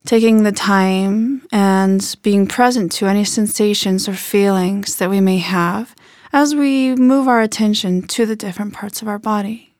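A woman leading a guided body scan meditation in a gentle, unhurried manner with soft phrase endings. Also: medium pitch, dry recording, studio quality.

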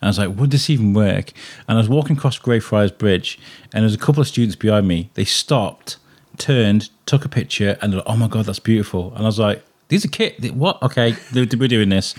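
A man hosting a local podcast, chatting with a guest, enthusiastic with quick, friendly interjections. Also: lowish voice, animated, darkish tone. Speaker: podcast host. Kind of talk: casual interview chat.

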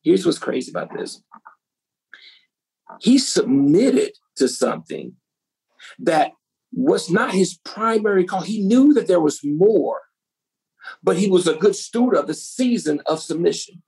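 A woman's voice: on the low side, expressive, measured pace, creaky, pauses often, mellow, breathy edge, poor audio. A woman pastor preaching a sermon to a women's group, asking the audience rhetorical questions.

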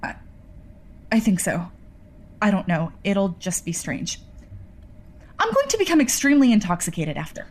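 A woman playing an anxious, emotional, sweary character in audio fiction, halting and expressive. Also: medium pitch. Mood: nervous.

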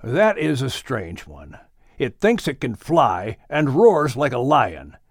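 A man voicing a very old, patient great-grandfather who speaks slowly and solemnly in an audiobook story. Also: medium pitch, huge pitch swings, dry recording, clean studio sound, heavily acted. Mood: thoughtful. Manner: slow, deliberate, solemn.

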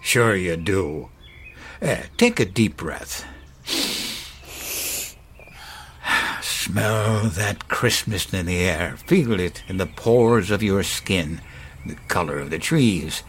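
An actor playing an elderly grandfather in a horror audio drama, folksy, wistful and quietly menacing, with halting, musing delivery. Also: deep voice, expressive, slight background noise, full character voice. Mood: wistful, nostalgic. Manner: halting, musing, with sighs and chuckles. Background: music.

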